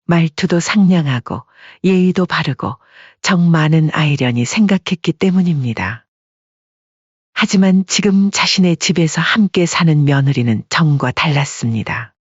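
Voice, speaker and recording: Story narrator, husky voice, scripted delivery, dry, slightly muffled recording. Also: mid-range voice, moderate pace, no echo.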